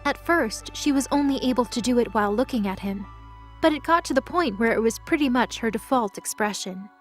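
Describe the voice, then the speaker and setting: A woman's voice: fairly high pitch, lively intonation, a little fast. A woman narrating a scripted audio drama as a storyteller. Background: music.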